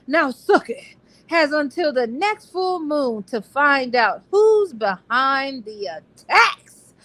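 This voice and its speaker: A woman's voice: sits high, fairly bright tone, low-quality recording. A woman co-hosting a casual book discussion in a playful, slangy, joking way. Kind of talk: casual book club chat, some synopsis reading.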